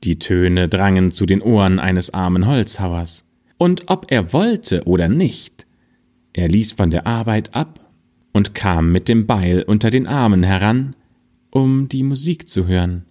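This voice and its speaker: Slow, expressive low male storyteller. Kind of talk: fairy tale reading.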